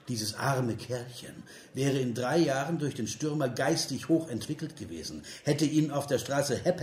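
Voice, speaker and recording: An actor in a radio play voicing a bitterly sarcastic political cynic of 1930s Germany, with a rounded, rough voice and biting, declamatory delivery. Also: male voice, mid-range voice, a little mellow, close to an everyday voice. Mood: biting sarcasm. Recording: roomy echo, music behind.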